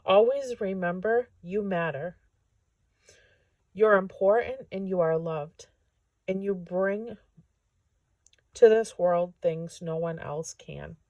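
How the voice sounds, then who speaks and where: A woman's voice: on the low side, takes it slowly, pauses often, a little mellow, dry recording. A woman voicing a kind, wise horse from a storybook in a comforting, reassuring manner.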